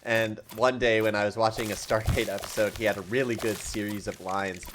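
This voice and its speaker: A man's voice: fairly low pitch, steady tone, a little fast, a bit bright, a little hiss or hum. A man streaming a game in casual chat, rambling and self-deprecating and often laughing, talking from a room at home. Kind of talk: casual livestream chat while playing a game. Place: home room with a collection of objects.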